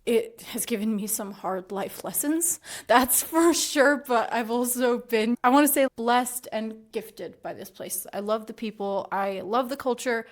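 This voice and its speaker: A woman's voice: a little high, measured pace, hardly any pauses. A young adult woman from Dubai, a guest on a podcast, talking in a casual, reflective way and laughing often. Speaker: podcast guest, school principal.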